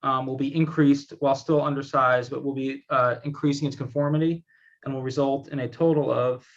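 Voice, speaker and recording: A man's voice: medium pitch, fairly even intonation, a little fast. A man speaking as an attorney, giving a polite, semi-formal explanatory presentation over a video call. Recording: slightly dull video-call audio.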